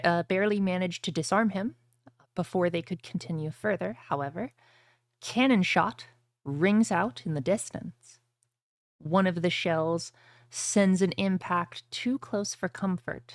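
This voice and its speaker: Low voiced lady game master, fantasy rpg stream, music playing behind her. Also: no room sound. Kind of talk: casual table talk and dramatic scripted fantasy recap narration.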